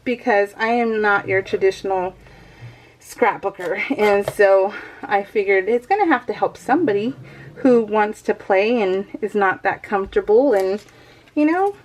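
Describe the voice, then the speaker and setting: Woman, craft vlogger, medium pitch, casual chatty voice-over, excitable, rambling, giggly, familiar with viewers. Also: rough recording. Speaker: craft vlogger hosting a scrapbooking video.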